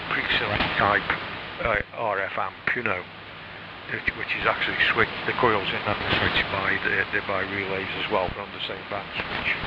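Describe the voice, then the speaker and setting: Man, British accent, deep-ish, hesitant rambling delivery, throat-clearing, muffled shortwave transmission, casual technical chat. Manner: hesitant, rambling, frequent pauses. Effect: shortwave radio transmission. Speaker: amateur radio operator. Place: home radio shack. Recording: muffled shortwave radio, loud static noise.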